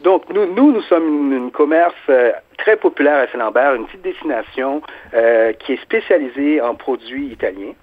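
A man's voice: mid-range voice, lively intonation, normal speed. A man with a Quebec French accent answering informally as a small business owner in an interview. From Quebec resident of Haitian origin. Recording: narrow phone-like sound.

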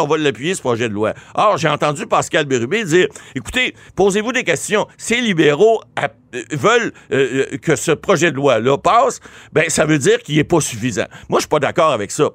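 A man with a Québécois French accent explains a new law as a legal commentator, his pitch rising and falling in lively swings. He often repeats and restarts words as he builds his point. His voice sits a little high and is recorded close and dry. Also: dry recording. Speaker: lawyer commentator. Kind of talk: explanatory talk show commentary.

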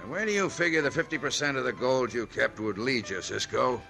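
A man's voice: mid-range voice, a little fast, vocal fry, sharp tone, soft background music. A man playing a shifty, bossy outlaw gang leader with an American accent in an old-time radio western, drawling and menacing.

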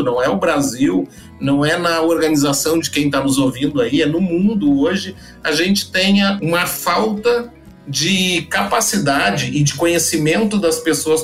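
A man, a podcast guest who explains his data business at length with frequent restarts and fillers. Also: fairly high pitch. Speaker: guest, data company founder. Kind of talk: podcast interview answers.

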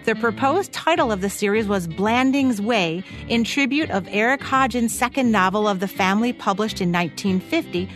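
A woman's voice: medium pitch, animated, moderate pace, hardly any pauses, fairly bright tone, faint music behind. A woman co-hosting a radio show, reading scripted narration. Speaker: radio co-host.

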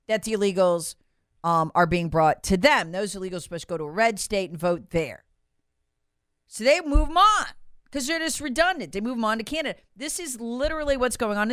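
Animated, high-voiced woman giving political commentary. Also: clean tone, dry recording, studio quality. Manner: sarcastic, emphatic, repeats phrases for effect; acts out quotes conversationally.

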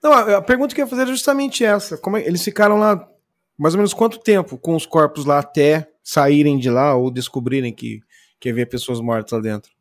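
Male guest, rapid delivery, casual reactive commentary. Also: on the high side. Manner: quick, reactive commentary with "né" tags. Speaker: podcast guest. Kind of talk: casual chat.

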